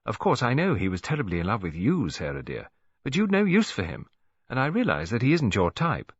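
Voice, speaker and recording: A man voicing a kindly elderly English grandmother, a chatty, gently scheming matchmaker in an audiobook story. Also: mid-range voice, huge pitch swings, a little fast, husky, no echo, dull audio. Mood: gleeful. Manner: gentle, chatty, reasonable, fond.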